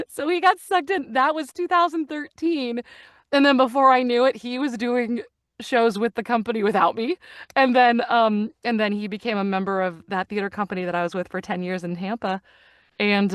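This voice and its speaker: A woman's voice: fairly high pitch, no room sound, clean studio sound. A middle-aged woman chatting as an interview guest, telling stories with many fillers and restarts. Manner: chatty, animated storyteller with frequent fillers and laughs. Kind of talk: casual interview chat.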